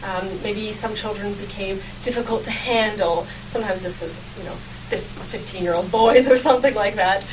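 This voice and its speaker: A woman's voice: medium pitch, normal speed. A young woman explaining her research conversationally and enthusiastically as an interview guest. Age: young adult. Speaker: guest researcher, history PhD student. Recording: distant, dull, clearly noisy sound.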